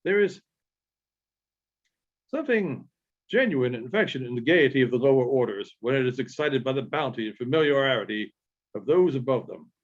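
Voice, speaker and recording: A man reading literary narration aloud in a halting way, with frequent pauses and small stumbles. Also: medium pitch, animated. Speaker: audiobook reader. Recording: dull, lo-fi sound.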